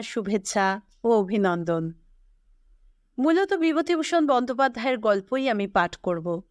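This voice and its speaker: A woman, reading a scripted literary story as host in a measured, expressive way. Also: fairly high pitch, clean tone, no echo, clean studio sound.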